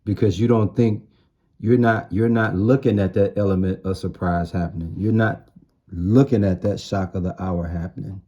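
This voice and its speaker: A man's voice: low-pitched, fairly even intonation, moderate pace, dark tone, muffled recording. A man giving an informal sermon in blunt, streetwise slang, repeating phrases for emphasis. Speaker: street-style preacher.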